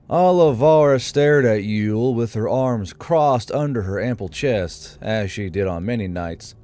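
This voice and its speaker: A man's voice: mid-range voice, dark tone. A man reading scripted narration for a fantasy audiobook as its narrator.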